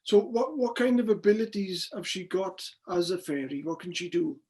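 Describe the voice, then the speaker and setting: A man's voice: high voice, moderate pace, a little mellow. An older man conducts a casual interview. His delivery is conversational and curious. He tends to ramble as he works his way toward each question.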